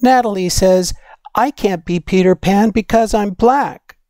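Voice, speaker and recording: A man's voice: high voice, a little slow, dark tone, dry recording, studio quality, pitched above the performer's own voice. A man voicing an earnest, imaginative young schoolgirl in a storybook, with a childlike manner. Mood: hurt.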